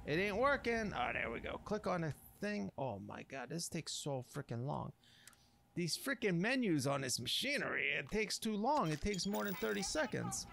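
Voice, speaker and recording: A man with a fairly high, gravelly voice talks his way through a video game. His delivery swings freely in pitch, full of casual slang and mild grumbling, with faint noise and game ambience behind him. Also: very animated, moderate pace, a bit bright. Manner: grumbling slangy talk with mild minced oaths. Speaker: gamer narrating a playthrough. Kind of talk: casual improvised gameplay commentary.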